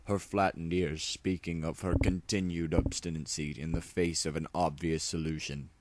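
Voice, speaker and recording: A man's voice: low voice, little pitch movement, moderate pace, noisy background. A man narrating a story as a mock-dramatic comic reading, with wry asides of his own.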